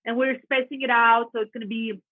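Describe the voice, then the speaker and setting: A woman from Latvia speaks with a Russian accent, her voice sitting a little high. She tells her story in a chatty, earnest way. The sound is dry and thin, like a phone line. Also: level tone, fairly quick, no echo. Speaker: realtor guest. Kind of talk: casual podcast interview.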